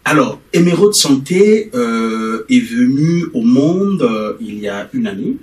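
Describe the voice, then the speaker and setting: A middle-aged man from Congo-Brazzaville, answering questions as a TV interview guest and often pausing with fillers. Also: medium pitch, very animated, a little mellow. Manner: hesitant, many filler pauses. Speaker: interview guest, company founder. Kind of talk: TV studio interview. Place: TV studio. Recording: roomy studio, distant mic, faint noise.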